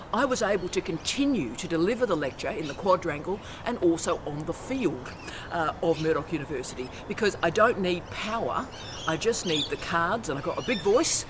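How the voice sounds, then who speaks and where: A middle-aged woman, a university academic, giving an emphatic, forthright instructional vlog talk outdoors on a campus by the ocean, filmed on her own camera. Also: on the low side. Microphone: self-filmed camera outdoors. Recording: outdoor noise with birds and traffic.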